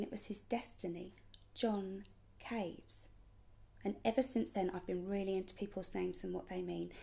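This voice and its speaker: A woman acting a dramatic monologue as a frank, sweary, emotionally raw young woman, breathy and often dropping to a near whisper. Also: mid-range voice, dark tone. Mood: musing.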